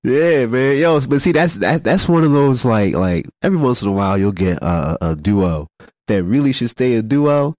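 A congested man hosting a casual podcast chat, halting, repeating words and filling gaps with fillers. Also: mid-range voice, lively intonation, darkish tone, no room sound, clean studio sound. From Philadelphia. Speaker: podcast host. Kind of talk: casual radio-style chat.

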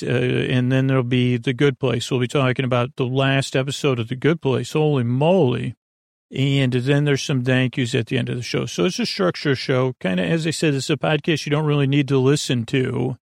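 A man hosting a bedtime podcast in a meandering, halting monologue, trailing off mid-sentence and gently reassuring the listener. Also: medium pitch, vocal fry, no room sound, clean studio sound. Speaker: podcast host.

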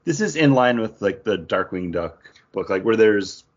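A man co-hosting a podcast, talking casually about comics with a husky voice. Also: fairly low pitch, animated, normal speed. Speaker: podcast co-host. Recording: dull, lo-fi sound.

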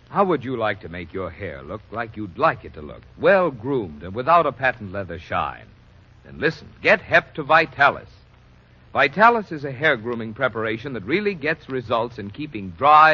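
Male vintage radio announcer, animated and slightly husky, polished salesman delivery, dull old recording. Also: mid-range voice. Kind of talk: 1940s radio commercial announcing.

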